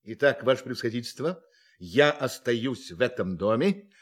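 An actor playing a playful, condescending alien visitor in a radio play, with mock courtesy and a theatrical manner. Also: male voice, mid-range voice, expressive, normal speed, natural voice. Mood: smug, mocking. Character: playful, condescending alien visitor who relishes human violence. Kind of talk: Russian radio play acting.

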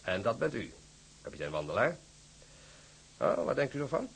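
A man's voice: mid-range voice, very animated, normal speed, creaky, slight background noise. An actor playing a formal, effusive and persuasive businessman in a Dutch radio drama. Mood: persuasive, grand. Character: formal, effusive businessman courting a sea captain.

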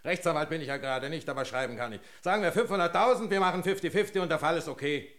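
A man's voice: a little high, fast, a bit bright, lightly acted. An actor playing a brash, opportunistic hack writer in a German radio drama, slangy and dismissive as he haggles. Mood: dismissive haggling.

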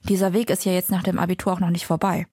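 A woman hosting and narrating a radio feature, explaining the story in a calm tone. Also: on the low side, rapid-fire, slightly rough, no room sound. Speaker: host and narrator. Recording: dry close sound with faint music.

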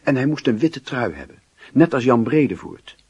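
Male narrator for literary radio drama: markedly breathy, rough-edged voice, close dry sound. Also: mid-range voice, sing-song, normal speed, darkish tone, dry recording, lo-fi sound.

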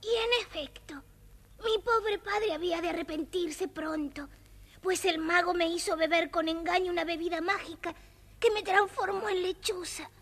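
A very high-pitched enchanted owl princess. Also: female voice, fairly even intonation, moderate pace, a bit bright. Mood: mournful. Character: enchanted princess turned owl, mournful, earnest storyteller. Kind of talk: dramatized children's story record acting.

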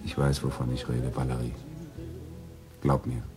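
An actor playing a brooding, fatalistic lover in a radio drama, speaking solemnly. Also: male voice, rumbling low, flat delivery, moderate pace. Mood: brooding, intense. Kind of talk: German radio drama acting. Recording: music behind the voice.

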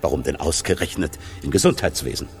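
An actor playing a principled hospital doctor interviewed in a radio drama, speaking deliberately and with emphasis. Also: male voice, extremely low pitch, over music. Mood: earnest, critical.

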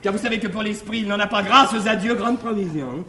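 An actor playing a cunning, cheeky servant in a classical French stage farce, with exaggerated, declamatory delivery. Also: male voice, high-pitched, brisk, crowd noise, natural voice. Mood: mocking, scheming. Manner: exaggerated, declamatory, swinging from wounded to mocking. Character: cunning, cheeky, theatrical male servant and schemer. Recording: echoey, faintly noisy 1960s stage recording.

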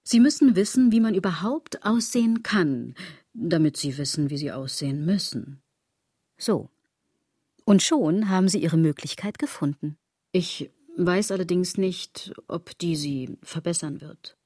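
An actress playing a sardonic, restless woman in a radio drama, lecturing the listener with emphatic irony in a husky voice. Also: lowish voice, a little slow, mellow, no room sound, studio quality.

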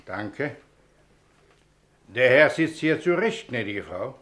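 A man's voice: medium pitch, big pitch swings, slow pace, fairly bright tone. A man playing an officious, correct train conductor in a German radio play, polite but insistent. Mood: firm, official.

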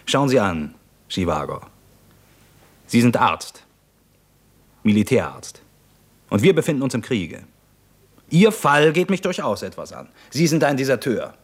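A stern revolutionary commander in an old 1950s German radio play. His voice sits at a medium pitch, and he speaks with measured, sardonic menace, like someone running an interrogation. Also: big pitch swings. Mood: sardonic, accusing.

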